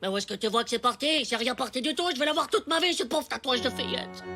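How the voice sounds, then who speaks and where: A woman voicing an excitable, whiny, dramatic ten-year-old boy in a French animated series. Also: sits high, fast, exaggerated voice. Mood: outraged, despairing. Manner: whiny, exclamatory, melodramatic. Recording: music behind the voice.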